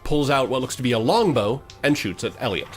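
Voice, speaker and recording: A man narrating a tabletop game as game master, improvising in a halting way. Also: medium pitch, lively intonation, soft background music.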